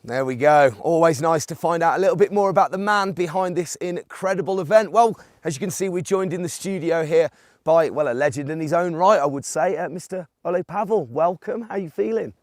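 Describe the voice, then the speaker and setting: A man's voice: on the high side, a little fast, no room sound. A man presenting a live ski event from a studio, chatty and upbeat, leading the questions. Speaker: studio host.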